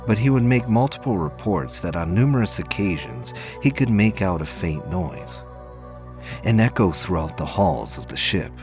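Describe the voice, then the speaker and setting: An extremely deep-voiced man narrates a scripted science fiction tale. His delivery is grave and measured, yet the pitch moves in lively sweeps. Music plays clearly behind him.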